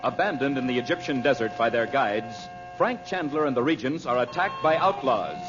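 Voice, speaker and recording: A man's voice: medium pitch, lively intonation, brisk, close to an everyday voice. A man announcing on an old radio serial in a polished, theatrical style, like an advertiser and story narrator. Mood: dramatic. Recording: muffled old radio recording with music.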